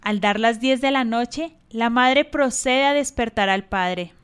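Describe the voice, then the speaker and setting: A woman's voice: fairly high pitch, slow, a bit bright, clear, resonant tone. A woman narrating a radio drama in a scripted storytelling style.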